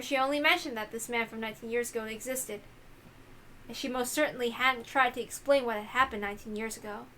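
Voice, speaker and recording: High, bright-voiced woman narrating. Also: slight background noise. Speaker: narrator reading a visual novel aloud. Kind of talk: dramatic scripted narration.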